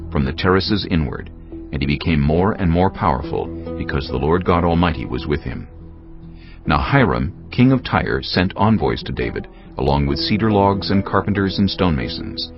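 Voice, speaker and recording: A man narrating scripture in a solemn, measured storytelling style, with a gravelly voice. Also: a bass voice. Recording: dull, lo-fi audio. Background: faint music.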